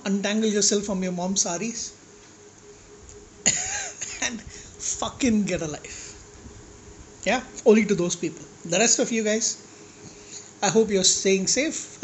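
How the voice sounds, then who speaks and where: Guy vlogging at home, kinda high voice, chill but ranting, bit of background hiss. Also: poor audio. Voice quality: slightly high-pitched for his age. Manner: ranting, blunt, laid-back. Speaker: food vlogger. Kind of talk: casual chat.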